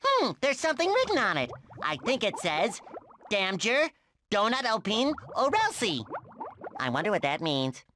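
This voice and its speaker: A man's voice: sits high, huge pitch swings, unhurried, background noise, full character voice. A man voicing an eager, childlike, cheerful sea sponge for a cartoon game, earnest, exclamatory and over-polite. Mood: curious, cheerful.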